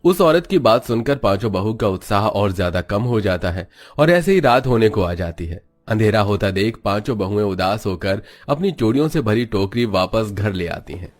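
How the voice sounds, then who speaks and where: A man reading scripted narration for an animated family story. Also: on the low side, normal speed, hardly any pauses.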